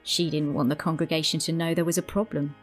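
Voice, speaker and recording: A woman's voice: sits low, level tone, fast talker, soft background music. An actress playing an earnest, caring young woman in an eighteenth-century London asylum in an audio drama. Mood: solemn recounting.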